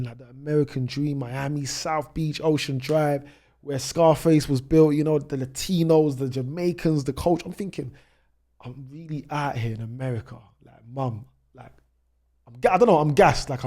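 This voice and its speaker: A man's voice: on the high side, steady tone, normal speed, warm tone. A British man in his thirties telling his own story conversationally as a podcast guest, with frequent "you know" asides. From United Kingdom. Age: 30s. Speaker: travel vlogger, podcast guest.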